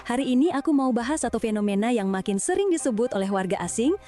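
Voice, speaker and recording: A woman's voice: fairly high pitch, lively intonation, racing, over loud music. A woman narrating her channel's video in an upbeat presenter style. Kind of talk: scripted narration.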